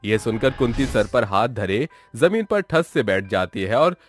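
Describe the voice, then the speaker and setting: A man narrates a storybook tale in a mid-pitched, very animated voice. The read is scripted, with music playing behind. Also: unhurried.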